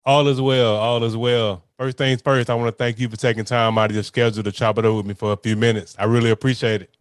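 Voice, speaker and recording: A man's voice: mid-range voice, flat delivery, fast, smooth, dry recording, studio quality. A man hosting a podcast, talking in an upbeat, slangy, conversational way. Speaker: podcast host. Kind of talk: casual podcast talk.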